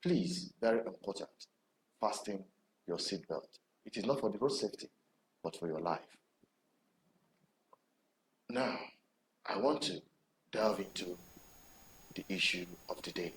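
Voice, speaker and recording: A man with a Nigerian accent hosting an online TV programme, addressing viewers in deliberate, emphatic phrases with dramatic pauses. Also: low-pitched, expressive. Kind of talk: presenter commentary to camera. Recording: roomy echo with background noise.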